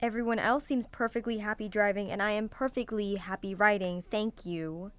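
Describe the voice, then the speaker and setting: A woman's voice: medium pitch, fairly even intonation, moderate pace, a bit bright, smooth, natural voice. A woman playing an irritable, sarcastic young complainer in a student audio drama, with a snippy, pompous delivery. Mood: irritated, haughty. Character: irritable, sarcastic young complainer called Walter on a road trip.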